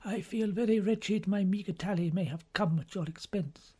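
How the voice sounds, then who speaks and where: A man's voice: sits high, moderate pace, darkish tone, breathy edge, voice pushed up. A man voicing a modest, dutiful older cardinal in an audiobook, with an earnest, self-effacing manner. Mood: apologetic.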